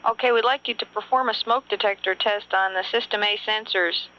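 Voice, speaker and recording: Woman, mission control communicator, bright crisp voice, procedural radio talk, dull lo-fi audio, faint hiss. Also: medium pitch, fairly even intonation, a little fast. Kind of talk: air-to-ground radio communication.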